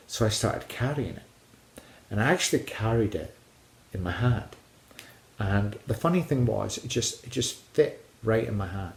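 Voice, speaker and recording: A man with a fairly low voice shares personal stories as a casual hiking vlogger. He rambles gently and haltingly, often repeating a phrase before moving on. The room around him is clearly echoey. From Scotland. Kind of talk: casual personal storytelling to viewers.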